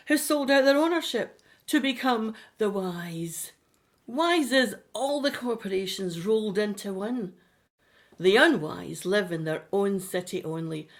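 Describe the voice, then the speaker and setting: A knowing, defiant woman of a radio play, voiced by an actress. She explains things in a slow, deliberate way at a middling pitch. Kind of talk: audio drama acting.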